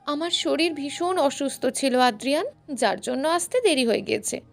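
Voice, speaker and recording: A woman's voice: sits high, expressive, moderate pace, vocal fry, soft background music. A woman voicing a clingy, coquettish young college woman with a coy, simpering manner in a story.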